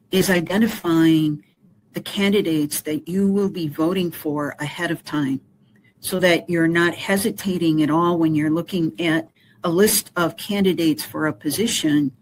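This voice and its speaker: A woman volunteering with a community voting group, explaining voter resources in a measured, careful way. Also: deep voice, slight background noise, rough recording. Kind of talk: explanatory interview answers.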